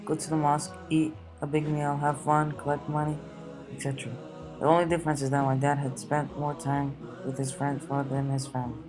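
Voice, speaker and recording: A teenage boy from the United States reading his school essay aloud in a steady, plain way. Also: on the high side, brisk, over music, low-quality recording. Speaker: student.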